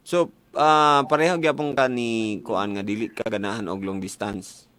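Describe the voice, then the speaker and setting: A man's voice: on the low side, steady tone, moderate pace, a bit bright. A young Filipino man with a Cebuano accent hosting a casual call-in advice show on radio, bantering and teasing. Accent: Filipino, Cebuano-speaking. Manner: teasing, joking. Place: radio studio. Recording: music bed behind studio voice.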